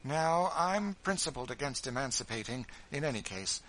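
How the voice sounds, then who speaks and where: A man's voice: expressive, normal speed, close to an everyday voice, rough recording. A man voicing a petulant, self-pitying wealthy widow, speaking sharply in a raised voice. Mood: haughty. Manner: complaining, sharp, high and raised.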